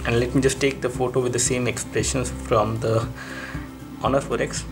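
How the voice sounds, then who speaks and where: A man's voice: mid-range voice, level tone, normal speed, music in the background. A man giving an unscripted product comparison review, explaining and thinking aloud in a repetitive way as he demonstrates. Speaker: tech reviewer and presenter.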